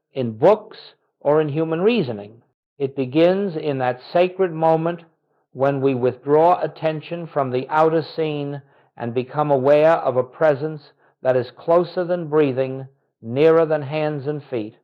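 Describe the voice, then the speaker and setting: A man delivering a scripted guided contemplation as a spiritual teacher, solemn and reverent, with measured, deliberate phrasing. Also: on the high side, dull audio.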